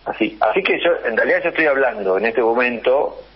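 A man's voice: mid-range voice, expressive, fairly quick, breathy edge. A man in his forties with an Argentine accent, a guest telling his family story at home, hesitant and full of fillers and repeated yeses. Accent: Argentine Spanish. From Argentina, Paraná, Entre Ríos. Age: 40s. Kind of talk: phone interview, personal story. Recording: dull lo-fi remote line.

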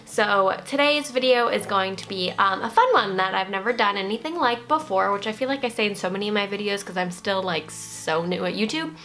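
A woman's voice: mid-range voice, fairly quick, hardly any pauses, a bit bright, faint music behind. A young woman in her twenties chatting upbeat through a nail art tutorial in her bedroom, with self-mocking asides. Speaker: beauty vlogger. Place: bedroom, indoors.

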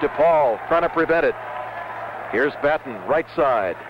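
A man calls a basketball game live for radio in a high-pitched voice, in short, clipped running phrases. The sound is a dull, noisy 1970s broadcast recording. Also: animated, unhurried. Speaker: radio play-by-play announcer. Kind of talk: live basketball play-by-play commentary. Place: courtside in a basketball arena.

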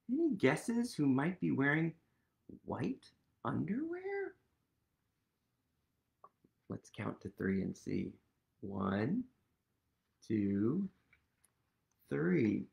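A man in his thirties reads a picture book to children in a highly animated voice that swings up and down. He takes it very slowly, with playful wonder and a little creak, asking the listeners questions. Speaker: storytime reader. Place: small room at home, indoors.

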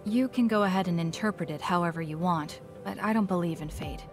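A woman's voice: on the low side, fairly quick, lightly acted. A woman voicing a blunt young soldier girl. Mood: calm. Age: young adult. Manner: matter-of-fact, reserved, blunt. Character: cool, blunt young female psychic soldier. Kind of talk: video game dub acting. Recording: game audio with music behind.

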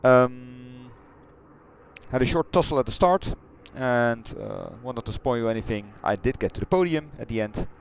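A middle-aged man with a Dutch accent, chatting loosely through a replay of his racing game run, halting and correcting himself as he goes. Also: medium pitch, a little hiss or hum. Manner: halting, rambling, self-correcting. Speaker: sim racing streamer. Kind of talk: casual replay commentary. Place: home gaming room.

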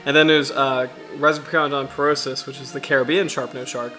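A man in his thirties from the US Chesapeake Bay region, hosting a casual livestream in a halting, self-deprecating way, his throat slightly raspy from allergies. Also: mid-range voice, level tone, pushed and bright, faint music behind. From United States, Chesapeake Bay region. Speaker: livestream host.

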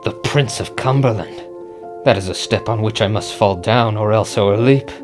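An actor playing an ambitious, brooding Scottish general in a Shakespearean radio drama, speaking declamatory verse. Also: male voice, on the low side, normal speed, over music, lightly acted. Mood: dark resolve.

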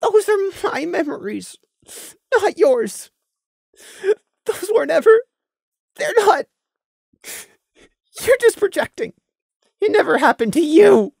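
A man's voice: very high voice, big pitch swings, takes it slowly, creaky, long pauses, dry recording, clean studio sound, pitched above the performer's own voice, heavily acted. A man voicing a grieving young pony stallion who screams through his tears. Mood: tearful insistence. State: crying.

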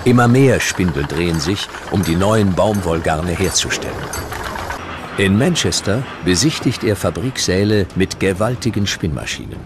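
A man narrating a scripted historical documentary in measured, dramatic short sentences. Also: low voice, expressive, noisy background. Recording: music behind the narration.

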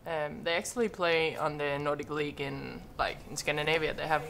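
Low-pitched young woman in casual chat. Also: fairly even intonation, brisk, gravelly, fairly bright tone. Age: young adult. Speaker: professional golfer, panel guest. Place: indoor lounge, seated around a table. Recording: faint background room noise.

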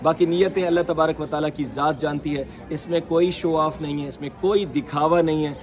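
A man's voice: on the high side, fairly even intonation, a little fast. A man in his thirties from Pakistan addressing viewers outdoors as a charity vlogger, earnest and devout, full of prayers and blessings while gesturing with open hands. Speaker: charity vlogger handing out groceries. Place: open courtyard outdoors among seated families. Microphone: camera a few feet away, waist-up framing. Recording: outdoor crowd murmur behind. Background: crowd of people.

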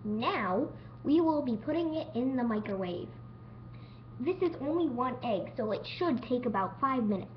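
Woman, amateur cooking-show host, unscripted and a little halting, recorded on a camera mic with a dull, noisy sound. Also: a little high, animated. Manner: unscripted, stumbling over words now and then. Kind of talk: homemade cooking demonstration. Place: home kitchen. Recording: dull lo-fi home video with noise.

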